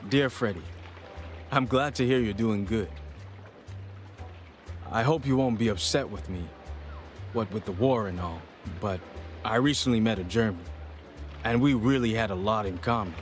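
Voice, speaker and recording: A man playing a sincere, warm-hearted soldier in a game, reading a letter to his brother aloud in an earnest, conversational way. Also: lowish voice, moderate pace, long pauses, close to an everyday voice. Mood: sincere, hopeful. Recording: prominent music behind the voice.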